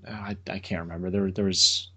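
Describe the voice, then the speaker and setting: A man with a fairly low voice explains engineering ideas as a podcast guest. He talks plainly and casually, slipping in dry jokes as he goes. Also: little pitch movement, a little fast, bright, forceful tone, breathy edge. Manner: explanatory, dry joking asides. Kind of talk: explaining technical topics in casual chat.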